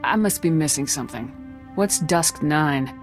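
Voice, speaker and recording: An actress playing a confident, steady military squad commander with a dry teasing streak in a video game. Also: female voice, deep voice, measured pace. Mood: firm, cool. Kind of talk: video game dialogue acting. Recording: music behind the voice.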